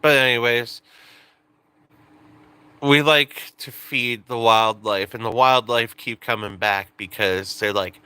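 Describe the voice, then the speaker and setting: A man's voice: medium pitch, fairly even intonation, slow pace, pushed and bright, smooth, no echo. A man in his late twenties, chatting casually on a live stream in a rambling, joking way that often breaks off and restarts. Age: 20s. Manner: rambling, self-interrupting, joking.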